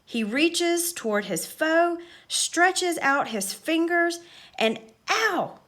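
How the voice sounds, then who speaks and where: A woman's voice: very high voice, expressive, takes it slowly, a bit bright, pitched above the performer's own voice, heavily acted. A woman voicing an earnest young boy who talks like a solemn, pompous sports commentator in a storybook. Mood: dramatic.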